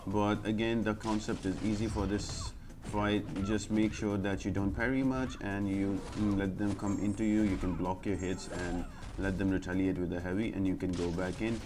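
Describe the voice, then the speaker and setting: A man's voice: lowish voice, little pitch movement, moderate pace. A man giving casual, instructional commentary as he walks viewers through a video game. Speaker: game guide video creator. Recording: voice-over with music behind. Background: clear music.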